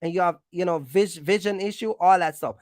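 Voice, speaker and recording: A woman's voice: on the low side, brisk, darkish tone, no room sound, clean studio sound. A woman hosting an informal explainer for viewers, speaking bluntly and emphatically in colloquial, slangy language and repeating phrases for stress. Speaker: trucking channel host giving advice.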